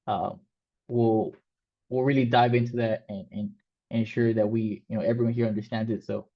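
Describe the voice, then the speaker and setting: A man's voice: on the low side, flat delivery, moderate pace. Spanish-native man teaching a coding class. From Mexico. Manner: loose and casual, many fillers and restarts. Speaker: coding instructor. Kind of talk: online class talk. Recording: slightly dull, lo-fi sound.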